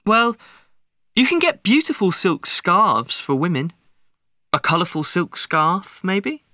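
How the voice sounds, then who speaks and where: A woman's voice: lowish voice, big pitch swings, slow, sharp tone, no echo, clean studio sound. A woman in a paired speaking-task discussion, agreeable and offering suggestions.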